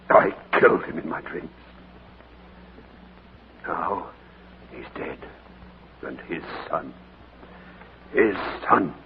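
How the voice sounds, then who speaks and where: An actor in a 1940s radio drama playing a grieving older man who speaks in a hushed, sorrowful way. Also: lowish voice, noisy background. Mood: grim. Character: grieving older man recalling lost love. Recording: tinny old radio broadcast with music.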